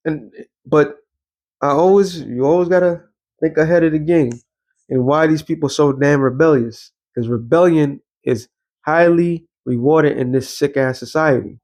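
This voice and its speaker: A man's voice: fairly high pitch, darkish tone. A man preaching in a blunt, slangy street style, swearing freely, reading scripture and breaking it down with rhetorical questions. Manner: rhetorical questions and repeated check-ins like a teacher. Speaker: preacher and show host. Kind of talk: informal street sermon with scripture reading and commentary.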